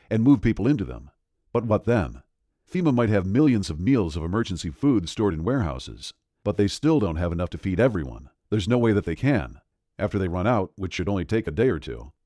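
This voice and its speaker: Guy reading a doom pitch, lots of swing in his voice, urgent and grave. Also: a little mellow, dry recording, clean studio sound. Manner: grave, dramatic, urgent delivery. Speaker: narrator. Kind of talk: scripted doom-laden voice-over pitch.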